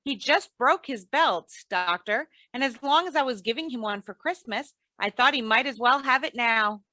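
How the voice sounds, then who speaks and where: A woman playing a patient, sensible, gently teasing housewife in old-time radio comedy, with a folksy, gently chiding manner. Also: on the high side, expressive, moderate pace, no echo.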